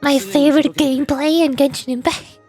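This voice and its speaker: A woman's voice: sits high, steady tone, normal speed, darkish tone, faint music behind. A woman livestreaming a game, chatting playfully and muttering to herself as she goes. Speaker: VTuber streamer. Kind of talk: livestream chat while gaming, reading game dialogue aloud.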